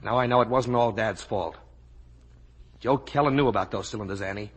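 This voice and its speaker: Husky, bitter young American lawyer. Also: male voice, fairly low pitch, a little fast. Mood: accusing, intense. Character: embittered young lawyer, returned soldier, hesitant and accusing. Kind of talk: 1950 radio drama acting.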